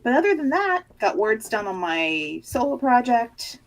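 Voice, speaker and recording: A woman's voice: fairly high pitch, big pitch swings, unhurried, rough recording. A middle-aged woman co-hosting a podcast, chatting casually with lots of restarts and fillers. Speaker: podcast co-host. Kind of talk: casual chat.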